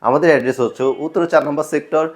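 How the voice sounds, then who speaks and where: A man's voice: a little high, fast. A man with a Bangladeshi accent giving an informal, explanatory product showcase to viewers as a shop presenter, often repeating his points. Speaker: shop presenter selling sound equipment.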